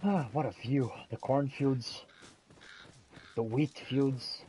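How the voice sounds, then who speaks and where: A man's voice: medium pitch, takes it slowly, dark tone. A man giving gameplay commentary in character, talking theatrically to the game's characters. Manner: theatrical, talks to game characters. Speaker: player roleplaying a game character.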